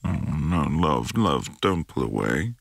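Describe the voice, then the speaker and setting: A man playing an affectionate, playful young boyfriend in intimate ASMR roleplay, murmuring breathily with lingering hums and pauses, close to the mic. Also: a bass voice, big pitch swings, gravelly, a little hiss or hum, exaggerated voice. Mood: teasing, amorous. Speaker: audio roleplay performer. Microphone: close, dry microphone.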